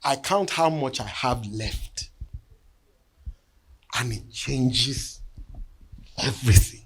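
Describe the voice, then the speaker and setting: A man, preaching a sermon and telling a personal testimony in an earnest storytelling way that often sinks to hushed tones. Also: medium pitch, slow pace, husky. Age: 50s. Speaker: bishop.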